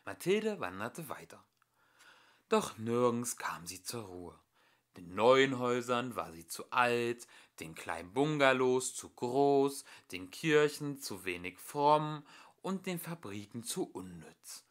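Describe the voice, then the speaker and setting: A man's voice: medium pitch, sing-song, slow. A man narrating a children's story expressively, as an amateur audiobook reader.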